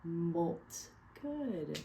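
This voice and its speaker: A woman reading a picture book aloud to children as a teacher, lively and encouraging, stopping to ask them questions. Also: on the low side, drawn-out words, gravelly, a little hiss or hum.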